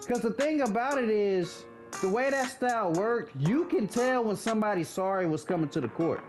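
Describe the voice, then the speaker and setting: High-voiced thirty-year-old gamer, blunt. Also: male voice. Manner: laid-back, blunt, swears casually. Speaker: gaming commentator. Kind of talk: casual gameplay commentary.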